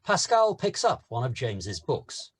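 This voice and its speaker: A man's voice: mid-range voice, big pitch swings, unhurried, husky. A man reading stage directions as narrator in a scripted screenplay table read.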